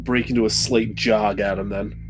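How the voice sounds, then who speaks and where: A man's voice: fairly low pitch, fairly even intonation, moderate pace. A man playing a tabletop role-playing game, bantering casually with wry, dry jokes. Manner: wry, dryly joking. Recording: music underneath.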